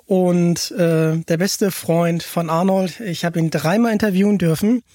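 A man hosting a podcast, chatting casually and enthusiastically, often halting and repeating words as he asks questions. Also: high-pitched, fairly even intonation, mellow, no room sound, clean studio sound. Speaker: podcast host. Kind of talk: casual chat, interviewing.

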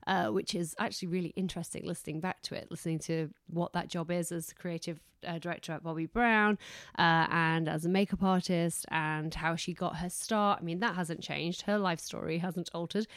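A woman's voice: on the low side, fairly even intonation, moderate pace, no room sound, studio quality. Chatty woman with a fading voice. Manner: chatty, self-deprecating, rambling. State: losing her voice. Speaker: podcast host. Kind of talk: casual podcast chat. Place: home.

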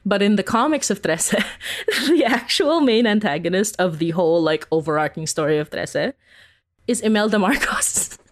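A woman's voice: medium pitch, animated, normal speed. A woman talking casually as an interview guest, informal and giggly, peppering her sentences with "like". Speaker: interview guest, podcast creator.

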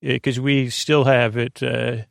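Gravelly, creaky American man rambling at bedtime. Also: mid-range voice, little pitch movement, no room sound, studio quality. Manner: meandering, halting, goes off on tangents. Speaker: podcast host. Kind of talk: rambling bedtime monologue to help listeners fall asleep.

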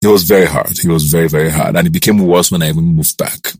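A man in his thirties with a Nigerian accent, a TV host telling his career story in a relaxed interview, lively when he acts out what others said. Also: fast, husky, no echo, clean studio sound. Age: 30s. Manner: animated, jumps up in pitch to act out quoted voices.

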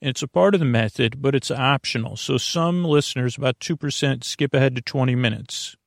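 A man with a creaky voice hosting a bedtime podcast, rambling informally and soothingly, stammering and losing his thread as he goes. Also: mid-range voice, brisk, no room sound, clean studio sound. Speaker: podcast host.

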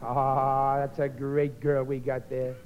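An actor playing a blustering, excitable middle-aged working family man in a 1950s radio comedy, exclaiming and boasting. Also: medium pitch, slow, smooth, natural voice. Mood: boastful. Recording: muffled 1950 radio broadcast, reverberant hall.

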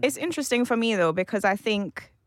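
A woman's voice: on the high side, animated, fairly quick, no echo, clean studio sound. A woman from Jamaica, articulate and playful, in a casual discussion. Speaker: panel guest.

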